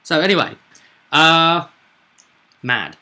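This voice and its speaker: A man with a bright, forward voice talks through a tabletop roleplaying game as its game master. He explains things in a casual, conversational way and keeps looking for agreement with little tag questions. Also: fairly high pitch, expressive, slow pace.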